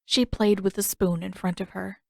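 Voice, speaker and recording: A woman narrates scripted fiction in the style of an audiobook. Her voice sits at an average pitch for a woman. It is recorded close and dry, with no audible room. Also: level tone, moderate pace, vocal fry, no room sound.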